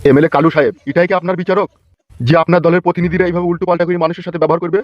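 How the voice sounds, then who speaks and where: Fast-talking, wailing old village husband acted by a man. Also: high voice, steady tone, close to an everyday voice. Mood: indignant pleading. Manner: wailing, complaining, drawn-out pleading. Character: distraught old village husband abandoned by his wife. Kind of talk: comic village cartoon dialogue. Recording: dull lo-fi sound with music behind.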